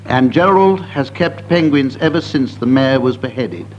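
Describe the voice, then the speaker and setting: Male panel game chairman, mid-pitched, presenting to contestants; dulled older broadcast sound. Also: animated, normal speed. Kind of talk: comedy panel show hosting. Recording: dull, faintly noisy old broadcast recording.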